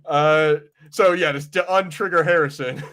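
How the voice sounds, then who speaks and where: A man hosting a casual pop-culture podcast, chatting in an animated way and arguing his point with casual swearing. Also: on the high side, normal speed. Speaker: podcast host.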